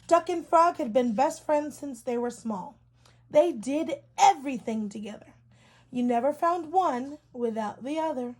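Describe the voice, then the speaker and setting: A woman reading a children's story aloud in an expressive, deliberate storytelling style for young children. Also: high-pitched.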